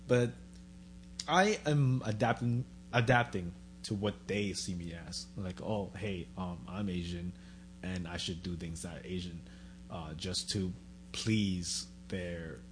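A man in casual chat, relaxed and joking, dropping his voice low in his asides. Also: a little slow, over music, poor audio.

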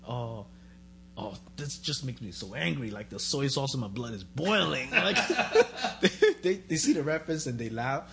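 A man in casual chat, relaxed and joking, dropping his voice low in his asides. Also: moderate pace, creaky, slight background noise.

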